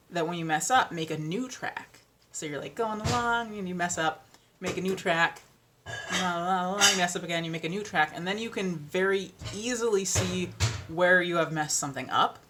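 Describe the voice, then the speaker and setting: A young woman with a deeper voice, chatting casually and self-deprecatingly about narrating audiobooks, full of ums and little laughs. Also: a bit bright, a little hiss or hum. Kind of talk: casual chat.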